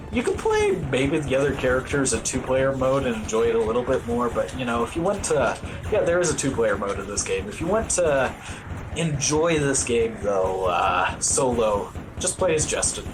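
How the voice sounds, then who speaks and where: Lively male speedrunner, unhurried commentary. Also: medium pitch, rough recording. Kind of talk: live speedrun commentary, casual explaining. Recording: game music behind the voice. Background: video game music.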